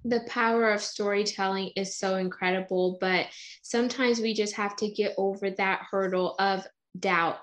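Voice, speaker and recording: A woman's voice: medium pitch, fairly even intonation, normal speed. Enthusiastic motivational woman host. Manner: enthusiastic, preachy motivational phrasing. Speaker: interview host. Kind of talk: motivational interview talk.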